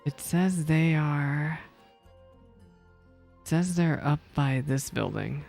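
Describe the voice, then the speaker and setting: A woman's voice: sits low, animated, takes it slowly, creaky, a little mellow, faint music behind. A woman chatting casually and playfully as she reacts to a video game she is playing. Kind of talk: casual gameplay reaction commentary.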